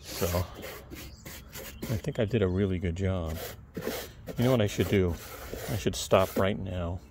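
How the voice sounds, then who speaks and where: A man with a soft, calm voice chatting casually to viewers while cleaning something outdoors on a lawn, filmed on a close-held camera. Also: sits low, a little slow, noisy background. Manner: chatty, rambling asides to the viewer. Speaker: video creator. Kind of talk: casual how-to talk while working. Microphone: camera held close, point of view.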